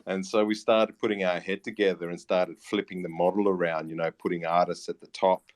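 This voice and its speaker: A man in his late thirties with an Australian accent talks casually as an interview guest. His voice is low, and his delivery is matey and halting. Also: flat delivery. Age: late 30s. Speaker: interview guest, music platform founder and teacher.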